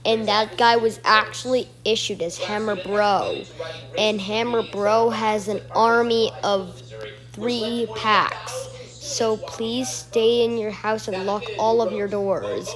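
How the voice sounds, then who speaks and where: A woman's voice: mid-range voice, fairly even intonation, takes it slowly, over music. A woman delivering a homemade mock emergency alert as an announcer, repeating her warnings.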